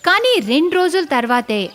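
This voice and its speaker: High-pitched, animated woman storyteller. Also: normal speed. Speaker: story narrator. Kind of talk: scripted dramatic storytelling narration.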